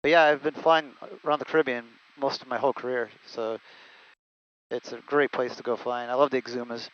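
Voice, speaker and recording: Man, pilot, rapid casual talk, middle pitch, dull headset audio, jet cockpit. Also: fairly even intonation, fairly bright tone. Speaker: pilot, guest co-pilot. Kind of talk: casual chat, recounting flying career. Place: small jet cockpit in flight. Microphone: aviation headset.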